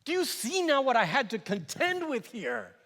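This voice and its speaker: Sardonic Roman governor for stage monologue, male actor: high-pitched, forceful, scornful and exasperated, theatrical delivery. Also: normal speed, full character voice. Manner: animated, scornful, exasperated, swinging from quiet asides to outbursts. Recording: echoey hall with long reverb.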